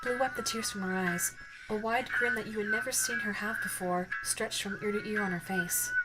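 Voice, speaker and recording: A woman reads scripted second-person story narration for an ASMR audio roleplay. Her pitch sits in the middle range for a woman, and music plays clearly behind her. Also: fairly even intonation, normal speed, low-quality recording. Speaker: narrator and voice actor.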